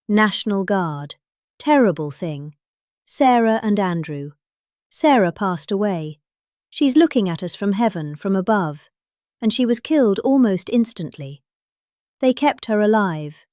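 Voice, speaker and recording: A woman's voice: mid-range voice, big pitch swings, unhurried, darkish tone, no echo, clean studio sound. A middle-aged woman with a British accent giving a dramatic reading of a rambling political speech, with exaggerated emphasis.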